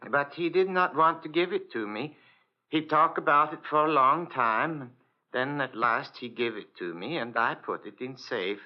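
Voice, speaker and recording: Man playing halting Scandinavian ship's purser, old radio. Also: on the high side, natural voice. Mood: helpful, earnest. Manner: halting, broken English, polite. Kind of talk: 1940s radio drama acting. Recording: dull old radio recording.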